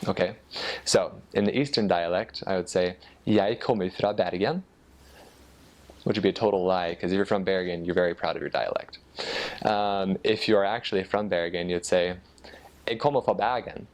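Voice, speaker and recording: A man with an American accent giving a casual explanation, halting a little as he thinks aloud. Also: sits low. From United States. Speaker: former missionary explaining Norwegian dialects.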